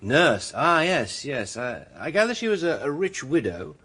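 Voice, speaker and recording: An actor playing a suave, confident, flirtatious male barrister from Ireland in a radio drama, smooth-talking and theatrical. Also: fairly high pitch, very animated, normal speed, pushed and bright, full character voice. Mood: reassuring, courteous.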